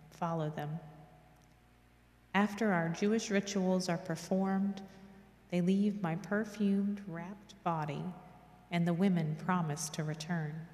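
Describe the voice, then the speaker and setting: A middle-aged woman giving a solemn, measured devotional reading at a lectern microphone in a church. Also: on the low side, warm tone. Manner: measured, reverent. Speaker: reader at a church devotional service. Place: large church, indoors. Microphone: gooseneck lectern microphone. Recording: echoey church hall with long reverb.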